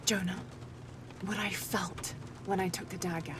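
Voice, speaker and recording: A woman's voice: fairly low pitch, steady tone, measured pace, background noise, close to an everyday voice. An actress playing a determined, earnest young female adventurer in a video game cutscene. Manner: earnest, insistent.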